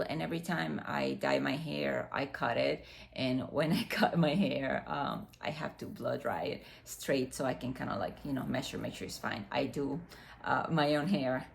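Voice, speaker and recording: A woman's voice: deep voice, creaky. A woman in her mid forties from the Dominican Republic, a native Spanish speaker with a Dominican accent, chatting casually through an unboxing with many fillers. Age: 40s. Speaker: beauty vlogger. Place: room next to a window, street noise outside. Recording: faint outside noise from the window.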